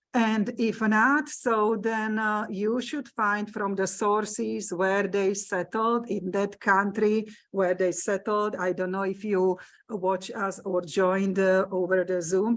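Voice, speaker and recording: Woman, Slovak accent, native Slovak speaker, slow deliberate delivery, hesitant with fillers, genealogy lecture. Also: mid-range voice. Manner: hesitant, many uh and um fillers, non-native phrasing. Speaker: genealogy presenter. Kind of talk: hybrid library lecture. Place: meeting room with live audience.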